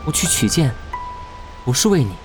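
Guy doing devoted young male fox spirit, fantasy drama, earnest, really varied pitch, music behind. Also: big pitch swings, moderate pace, lightly acted. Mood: earnest devotion. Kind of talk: costume fantasy drama acting. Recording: music under the dialogue.

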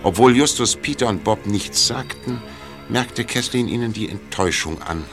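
A man's voice: mid-range voice, moderate pace, fairly bright tone, soft background music. A man reading scripted narration for a mystery radio play.

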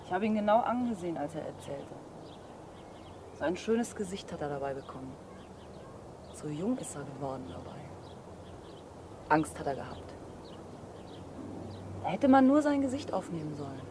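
A woman's voice: low voice, sing-song, moderate pace, long pauses. A woman playing a tender, reflective observer in a naturalistic radio drama. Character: woman reflecting tenderly on an old man's face. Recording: distant, with outdoor background noise.

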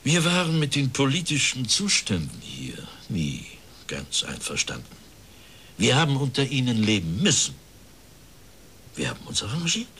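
A man's voice: mid-range voice, huge pitch swings, moderate pace, bright, forceful tone, slight background noise. An actor playing a resigned factory director in his fifties, speaking formally and plaintively in a radio drama. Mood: bitter, resigned. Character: bitter, resigned plump factory director of fifty-one.

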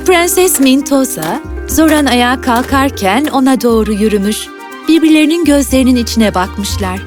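A woman narrates a scripted fairy tale for a cartoon. Her voice sits somewhat high, and music plays clearly beneath it. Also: expressive, moderate pace.

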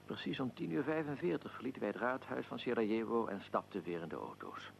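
A weary imperial archduke with a heavily creaking, gravelly voice. He speaks in formal, measured sentences with dignified restraint, as in a radio play, over a faint hiss and rumble. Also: expressive. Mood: grave recounting. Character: Austrian archduke, aristocratic, weary, recounting his own assassination. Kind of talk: radio drama acting.

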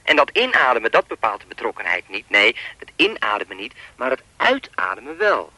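An actor playing a sinister, persistent phone stalker in a Dutch radio play, talking in a pompous, wordy, coolly controlling way. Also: male voice, medium pitch, huge pitch swings, a little fast, bright, forceful tone, no echo, full character voice, rough recording. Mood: smug, musing.

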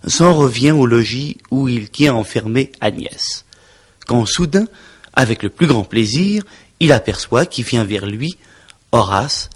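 A man reading a scripted introduction to a stage play in a measured, clear manner. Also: medium pitch. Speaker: narrator. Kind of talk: scripted narration.

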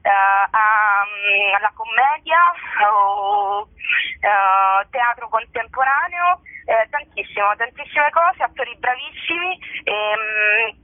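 A woman speaking as a festival organizer in a casual radio interview, hesitantly searching for words with drawn-out pauses, over a telephone. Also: fairly high pitch, sing-song, no room sound. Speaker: organizer of a theatre festival, guest. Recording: tinny narrowband telephone line.

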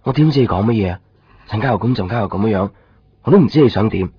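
A man's voice: on the low side, expressive, very fast, slight background noise, close to an everyday voice. An actor playing a brooding, lonely young man in a Cantonese radio drama from 1990s Hong Kong, with a Hong Kong accent. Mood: frustrated. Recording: dull 1990s radio recording, music underneath.

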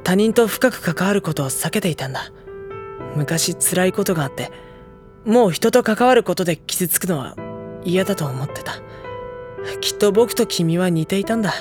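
An actor playing a gentle, earnest high school boy who speaks and narrates sincerely in an audio drama. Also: lively intonation, moderate pace, faint music behind. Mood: earnest, warm.

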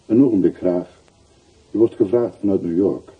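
A man acting in a radio play as a patient, plain-spoken hired porter, measured and deferential while gently prodding. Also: sits low, slightly rough. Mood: businesslike. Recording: muffled phone-like old radio recording, hissy.

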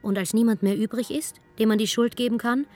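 A woman reading scripted dramatic storytelling narration in a measured way with emphatic pauses. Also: fairly high pitch, fairly even intonation, dark tone. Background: music.

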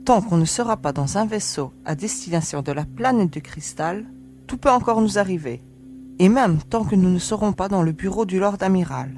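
A woman's voice: medium pitch, animated, normal speed, dark tone, soft background music, natural voice. Woman playing a cool-headed young female agent. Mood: sobering. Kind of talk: science-fiction audio drama acting.

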